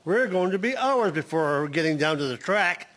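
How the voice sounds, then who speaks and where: A man's voice: high-pitched, animated, normal speed, fairly bright tone. A man playing a plain-spoken labourer in a historical radio drama. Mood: weary, hopeful.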